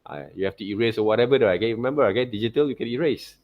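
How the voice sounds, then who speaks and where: Male art teacher, Malaysian accent, mid pitch, informal step-by-step instruction with frequent check-in tags. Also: rapid-fire, highs cut off. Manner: repeats tag words like okay and right. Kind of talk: informal software tutorial to students.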